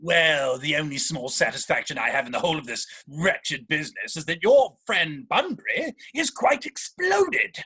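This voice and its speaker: A man acting an earnest, exasperated young gentleman in a Victorian stage comedy, speaking formally and with indignant emphasis. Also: fairly high pitch, big pitch swings, normal speed, few pauses, husky, full character voice.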